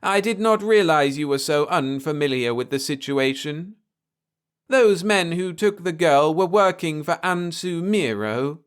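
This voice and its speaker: Man acting as alien starship captain, reptilian, higher-pitched, soft and slurred, formal, gentle, sci-fi storybook. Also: lively intonation, a little slow, clear, resonant tone. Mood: grave.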